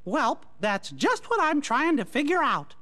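A man voicing a small cartoon mouse king for a video game, folksy, gentle and earnest in manner. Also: extremely high pitch, very animated, a little slow, exaggerated voice. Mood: earnest, explaining. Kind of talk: video game cutscene acting.

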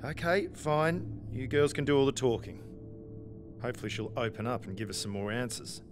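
A man's voice: medium pitch, animated, normal speed, over music, natural voice. A man playing a wry, skeptical spaceship captain in an audio drama, speaking in a dry, casual and blunt manner. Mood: suspicious.

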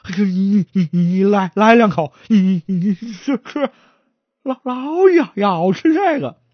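A man's voice: high voice, animated, dry recording, highs cut off, voice pushed up. A man putting on a stammering timid constable. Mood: nervous. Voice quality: heavy stutter, halting repeats. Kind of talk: traditional storytelling, acted dialogue.

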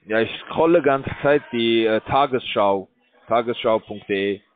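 A man calling from a call center, rambling in a bored, crude, halting way. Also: medium pitch, dry recording. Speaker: call center scam caller. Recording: dry tinny phone line.

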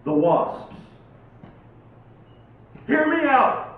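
A man performs as a poet at a live reading, his delivery dramatic and very unhurried. He is picked up from some distance in a heavily echoing space. The sound is thin and tinny. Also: very high-pitched, very animated. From Oregon, United States. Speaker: poet reading own poems. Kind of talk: live poetry reading with informal introductions. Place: live poetry night venue, recorded from a distance. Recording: tinny, very echoey, distant, faint noise.

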